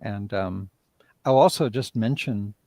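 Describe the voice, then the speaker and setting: A man's voice: on the low side, measured pace, darkish tone, no echo. A man talking casually as a playwright in a live discussion, rambling and often interrupting himself. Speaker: playwright and director, interview guest.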